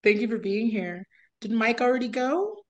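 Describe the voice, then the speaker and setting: A woman's voice: a little high, sing-song, normal speed, muffled recording. Woman hosting a video call meeting. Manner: friendly, moves things along. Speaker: meeting host.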